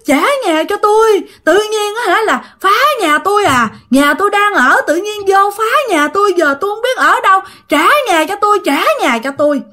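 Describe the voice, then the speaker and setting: A woman's voice: very high-pitched, lively intonation, fairly quick, bright, forceful tone, pitched above the performer's own voice, heavily acted. A woman voicing an aggrieved female tree ghost in a spoken horror story, complaining insistently and repeating herself. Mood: tearful lamenting.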